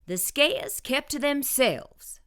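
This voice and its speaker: A middle-aged woman reading a children's picture book aloud with expressive storytelling. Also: high voice, takes it slowly. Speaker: children's book reader, channel host.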